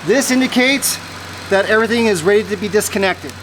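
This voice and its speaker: An American how-to host with a mid-pitched voice, explaining a hands-on job step by step in a casual, conversational way. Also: brisk, noisy background. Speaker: host of an automotive how-to channel. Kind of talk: casual how-to tutorial narration.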